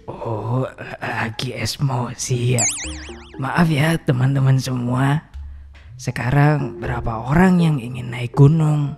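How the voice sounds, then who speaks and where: Cast a stern old post guard in a horror cartoon, mid-pitched, slow and fatherly. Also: creaky, a little mellow, soft background music. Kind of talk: animated horror story dub.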